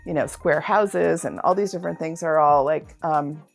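Creaky, lowish-voiced woman giving casual advice. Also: moderate pace, soft background music. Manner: informal, repeats and rephrases for emphasis. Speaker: artist, podcast guest.

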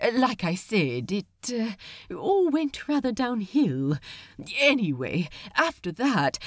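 A woman voicing a soft-hearted male angel, hesitant and contrite, with many fumbling pauses. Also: mid-range voice, no room sound, clean studio sound. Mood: sheepish. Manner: contrite, hesitant, full of "uh" and "oh dear".

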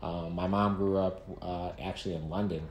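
A man in his thirties from Nigeria, a guest talking casually about his life, relaxed, with many fillers and restarts. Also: low-pitched, moderate pace. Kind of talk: casual interview storytelling. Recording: echoey room with faint noise.

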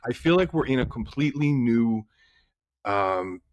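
Blunt, animated man in his sixties. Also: medium pitch, a little slow, lo-fi sound. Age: 60s. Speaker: healthcare practitioner. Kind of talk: casual podcast conversation.

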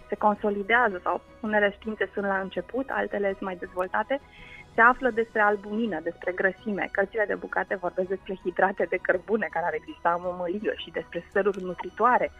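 A woman speaks as an expert radio guest, at a middle pitch. She explains in a storytelling way with frequent hesitant pauses, over music in a slightly muffled recording. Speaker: guest food culture researcher.

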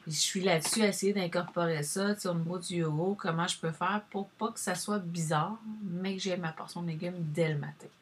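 A woman's voice: lowish voice, fairly even intonation, fairly quick, hardly any pauses, a little hiss or hum. A woman with a Québécois French accent chatting casually to viewers, rambling and addressing them directly as she shares advice.

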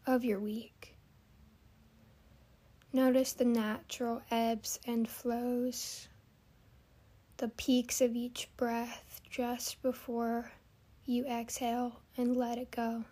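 Slow, soft, higher-pitched woman guiding meditation. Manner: soothing, gentle instruction with long pauses. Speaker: host and meditation guide. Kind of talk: guided meditation.

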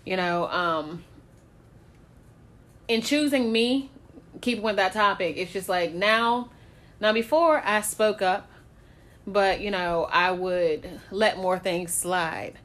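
A woman's voice: medium pitch, slow pace, pauses often, fairly bright tone. A woman talking candidly in a casual chat, blunt and swearing freely, often breaking into laughter.

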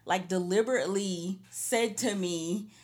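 A woman from Trinidad telling personal stories in a candid, animated way during a casual panel chat, laughing often. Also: mid-range voice, a little slow. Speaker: panel guest.

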